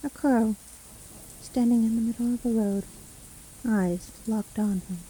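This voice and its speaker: A very soft-edged, dark voice over faint rain. A woman plays a solitary forest witch who seems kindly but is sly underneath. She coaxes gently and takes her time, in the manner of a fantasy storytelling roleplay. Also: a little high, fairly even intonation, unhurried, slight background noise, close to an everyday voice, low-quality recording. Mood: hushed storytelling. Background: rain storm ambience.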